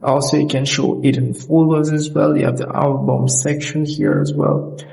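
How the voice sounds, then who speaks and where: A man's voice: on the high side, little pitch movement, moderate pace, vocal fry, warm tone, poor audio. A man narrating an informal app tutorial as its host, explaining in a repetitive way and correcting himself.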